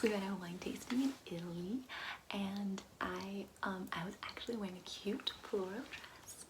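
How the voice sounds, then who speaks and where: A woman whispering a soft-spoken ASMR roleplay as a ditzy, materialistic class instructor, breathy and chatty with girlish, rambling asides. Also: medium pitch, noisy background. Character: ditzy, materialistic gold-digger giving a class.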